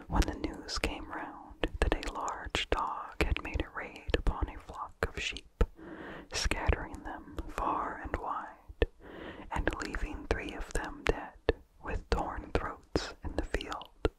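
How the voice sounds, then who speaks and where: A person reading a vintage horror story as ASMR in an unvoiced whisper, slowly and deliberately, in short phrases with pauses. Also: rough recording. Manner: slow, deliberate, pausing between short phrases. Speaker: narrator.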